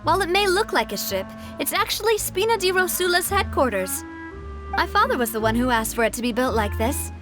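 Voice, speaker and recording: An actress playing a spirited, proud and determined young lady in a video game, speaking in an earnest, confident, expressive way. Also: high-pitched, a little fast, music in the background. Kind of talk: video game cutscene acting.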